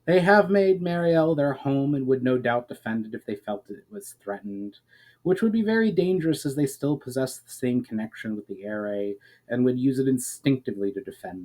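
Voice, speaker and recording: A man voicing a grave, ancient elf in a fantasy storybook reading, speaking in a measured, formal, explanatory way. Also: medium pitch, darkish tone, close to an everyday voice, rough recording. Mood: sad, cautious.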